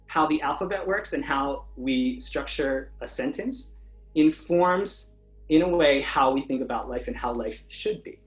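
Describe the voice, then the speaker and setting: A man with a mid-range voice explains his ideas about language as an interview guest. He speaks in a measured, explanatory way, and faint music runs beneath him. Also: medium pitch, rough recording. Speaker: podcast guest, language researcher. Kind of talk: conversational interview.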